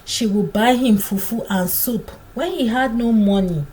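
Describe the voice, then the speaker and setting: A woman narrating a scripted folktale in a dramatic, deliberate storytelling manner. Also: fairly high pitch, dark tone, poor audio.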